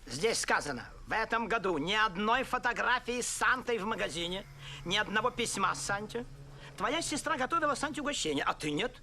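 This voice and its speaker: A man dubbing an emphatic, officious train conductor in an animated film. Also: high voice, a little fast, slight background noise, exaggerated voice. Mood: officious, urging.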